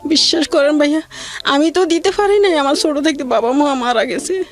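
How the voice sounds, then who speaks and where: A woman shares her life story as a guest. She speaks plaintively and conversationally, circling back over phrases and halting as she searches for the next one. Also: sits high, soft background music. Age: adult mother of two. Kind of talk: personal hardship testimony in conversation.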